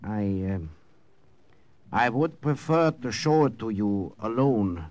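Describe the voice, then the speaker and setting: Stiff, guarded German officer. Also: male voice, lowish voice, animated, takes it slowly, darkish tone. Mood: guarded, uneasy. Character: formal, guarded German officer turned secret informant. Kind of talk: old-time radio drama acting.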